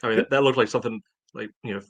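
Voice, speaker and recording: A man co-hosting a sports podcast, talking casually in a halting, conversational way. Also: on the low side, fairly even intonation, fairly bright tone, no room sound. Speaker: podcast co-host.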